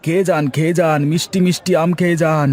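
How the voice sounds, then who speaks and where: A man's voice: fairly high pitch, flat delivery, fast talker, darkish tone, a faint crowd, close to an everyday voice. A man playing a humble, earnest and poor young village husband in a cartoon story. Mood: excited. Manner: deferential, earnest.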